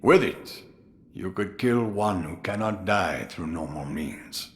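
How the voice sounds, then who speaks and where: An actor playing a crafty, jovial old samurai sword master in a video game, musing theatrically with drawn-out amusement. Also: male voice, sits low, expressive, full character voice. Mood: grave musing. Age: older. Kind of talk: dramatic video game voice acting.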